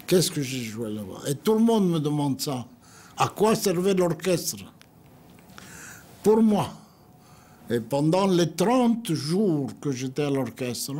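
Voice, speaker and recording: A man's voice: fairly high pitch, mellow, breathy edge. An older man from Greece, recounting his memories unhurriedly in a studio interview and acting out what others said. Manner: unhurried storytelling, acting out others' words in quotes.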